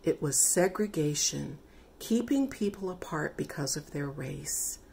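Lady reading as calm serious dad from a picture book, explaining slow n patient, kinda low. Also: vocal fry, slightly rough, exaggerated voice. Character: calm, serious father explaining to his child.

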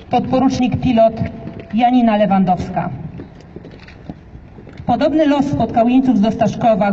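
A narrator giving formal, steady commemorative narration at an outdoor memorial ceremony. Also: medium pitch. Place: outdoor street march in a city. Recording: distant PA in open air, reverberant, dull, noisy.

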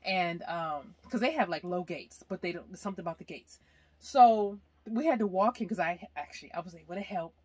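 A woman's voice: on the low side, a little fast, darkish tone, slightly rough, no room sound. A woman in her forties telling a story in a casual chat, laughing often, filmed by a camera at arm's length inside a parked RV. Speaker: vlogger.

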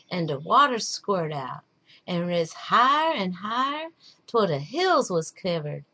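A woman's voice: mid-range voice, pushed and bright, heavily acted. A woman voicing a patient, wry old man telling a folktale in drawling, folksy dialect. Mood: emphatic.

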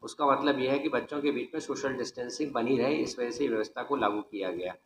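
A man's voice: mid-range voice, quick pace. A middle-aged man giving a formal, methodical explanation as an exam coordinator, recorded in an office. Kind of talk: explanatory on-camera statement. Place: small indoor office. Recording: echoey room with faint noise.

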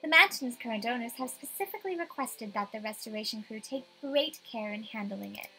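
Woman as a bright, eager young estate agent. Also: fairly high pitch, lively intonation, fairly quick, a little hiss or hum, poor audio. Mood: polite, proud. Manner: polite, emphatic sales presentation.

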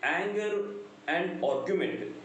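Middle-aged man, South Indian accent, lecturer, high animated voice, sing-song emphasis. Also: slow pace. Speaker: English lecturer. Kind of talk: lecture explaining a play. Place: small indoor room. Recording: very echoey room, faint noise.

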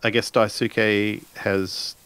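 Halting man with a lowish voice. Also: level tone, fairly bright tone, clean tone. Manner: halting, tentative. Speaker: roleplaying game player. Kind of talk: casual improvised narration of characters' actions.

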